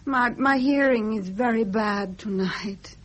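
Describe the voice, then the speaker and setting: An actress playing a sweet, vague, hard-of-hearing princess in a period drama. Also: female voice, a little high, slow. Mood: sweet confusion.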